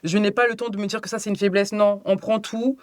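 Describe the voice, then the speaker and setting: A woman's voice: medium pitch, little pitch movement, fast, dry recording. A woman from France speaking as an interview guest, casual and emphatic, often correcting herself as she explains. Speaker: podcast guest.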